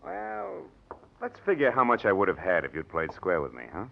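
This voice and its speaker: An actor playing a menacing, smug blackmailer in an old radio drama, taunting with mock-friendly wheedling. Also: male voice, fairly low pitch, wildly swooping pitch, moderate pace, gravelly, full character voice. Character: menacing, smug male blackmailer, mockingly sweet. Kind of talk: 1940s radio drama acting. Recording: muffled old radio broadcast recording.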